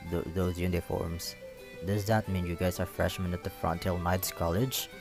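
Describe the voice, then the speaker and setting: Sleepy, snarky dog freshman for a visual novel, read by a man, low and unhurried, over music. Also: little pitch movement. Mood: curt, drowsy. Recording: music playing behind the voice.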